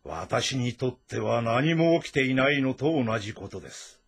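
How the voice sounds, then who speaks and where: A male actor playing a blunt, calm physician of ancient China, speaking formally and deferentially in an audio drama. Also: medium pitch, slightly rough. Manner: measured, deferential, matter-of-fact. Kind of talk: audio drama dialogue in formal, old-fashioned speech. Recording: voice over background music.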